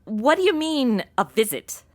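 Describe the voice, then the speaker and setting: A woman acts a young American valley-girl type in a comedy radio play. The voice is high and swoops around, blunt, casual and easily exasperated. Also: sing-song, a little slow, heavily acted. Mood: puzzled. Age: young adult. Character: flighty, slangy young modern woman, easily rattled. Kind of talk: comedy audio drama acting.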